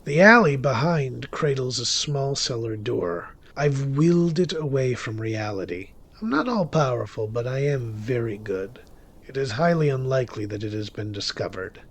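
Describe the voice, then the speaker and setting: An actor playing a wry, secretive male witch who narrates a horror-thriller audio drama in a theatrical, deliberate manner. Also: medium pitch, lively intonation, husky. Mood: sly, careful. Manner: wry, theatrical, deliberate, with sudden swells and drops. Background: music under the voice.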